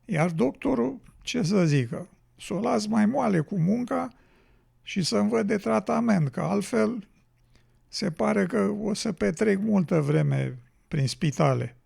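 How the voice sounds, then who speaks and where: A man plays a worn-out, ailing road builder telling his story in a radio drama. His voice is high for a man, extremely creaky and rough with husk. He sounds plaintive and musing. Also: lively intonation, darkish tone, exaggerated voice. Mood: grumbling. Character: weary, ailing male road builder, scornful of nature. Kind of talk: educational radio drama monologue.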